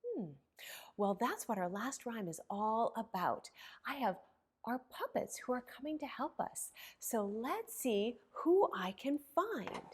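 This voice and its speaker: A woman librarian hosting an interactive story time for young children, expressive and sing-song, asking questions and pausing for answers. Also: a little high, slightly rough.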